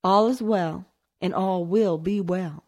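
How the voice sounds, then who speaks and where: A woman voicing an anxious wealthy mother who forces composure, her voice strained and shrill. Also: slow pace. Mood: dry, firm. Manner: shrill and strained, sharp when scolding.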